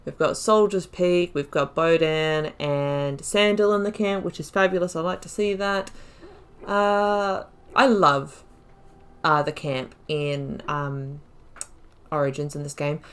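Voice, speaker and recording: A woman's voice: low-pitched, steady tone, slow pace, clean tone. A woman hosting a playthrough, thinking aloud in casual, chatty and reflective gameplay commentary. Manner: chatty, reflective, often laughing at own mistakes.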